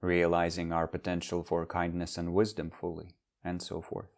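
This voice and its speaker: A man leading a guided meditation as a teacher, speaking in measured, instructional phrases with long pauses. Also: low voice, monotone, gravelly.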